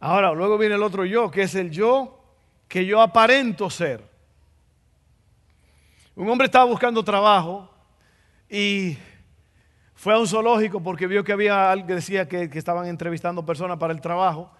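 A man's voice: sits high, moderate pace, long pauses, a bit bright, long reverb tail. A man with a Dominican accent preaching a sermon, playful and joking, often repeating phrases for emphasis. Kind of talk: sermon with jokes.

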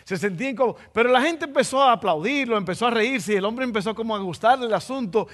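A man with a Dominican accent gives a joking, playful sermon and keeps circling back to his phrases. His voice sits high for a man. It rings through a church hall with a long reverb. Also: expressive, fast talker, fairly bright tone. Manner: playful, repeats phrases for emphasis. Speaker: preacher. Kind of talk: sermon with jokes.

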